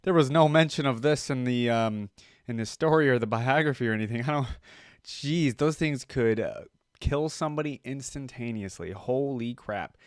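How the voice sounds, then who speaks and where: A man with a mid-pitched voice gives casual reaction commentary in a dry recording. He rambles as he thinks aloud, often tagging his thoughts with a question. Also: moderate pace, smooth, no room sound. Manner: rambling, thinking aloud, seeks agreement with "right?". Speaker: reaction video host.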